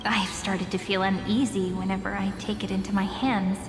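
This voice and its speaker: A woman playing a gentle, wistful young storyteller in a fantasy game, speaking in a tender, reflective way. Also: mid-range voice, level tone, moderate pace, natural voice. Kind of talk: dramatic fantasy game dialogue. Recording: echoey long reverb over music.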